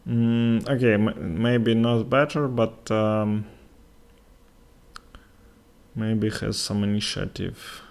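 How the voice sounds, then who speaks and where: A young man from Latvia with a Russian accent, commentating a live chess game in a halting way, with many fillers and pauses. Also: on the low side, steady tone, creaky, darkish tone, clear, resonant tone.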